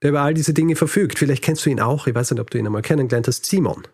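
A man's voice: a little high, very fast, a little mellow, slightly rough, no room sound. Man, casual podcast storyteller, dry recording. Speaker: history podcast host telling the episode's story.

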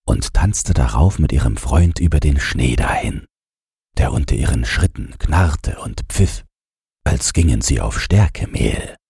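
A man reading a fairy tale as an audiobook narrator, in a gentle bedtime style. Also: rumbling low, creaky, breathy edge, dry recording, studio quality.

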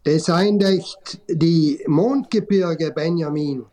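A man's voice: on the high side, slow pace, warm tone. A man reading a curious child from a children's radio play in broad Tyrolean dialect. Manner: broad dialect, hard to understand.

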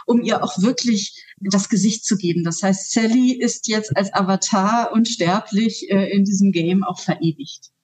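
A woman from Germany who heads a theater's digital department, answering interview questions eagerly and fast, with many fillers. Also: mid-range voice, darkish tone, slightly rough. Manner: enthusiastic, quick, many fillers. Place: works in Augsburg.

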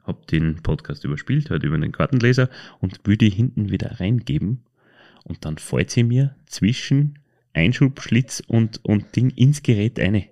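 A man with an Austrian accent and a low-pitched voice talks as a podcast host. He speaks casually and colloquially, feeling for his words and often correcting himself as he goes. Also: lively intonation, moderate pace, gravelly, mellow, dull audio. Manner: hesitant, self-correcting, colloquial. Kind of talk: casual podcast chat, telling anecdotes.

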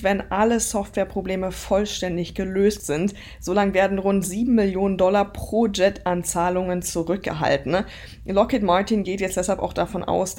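A woman's voice: lowish voice, a little mellow. A woman giving a conversational financial news report as a market correspondent.

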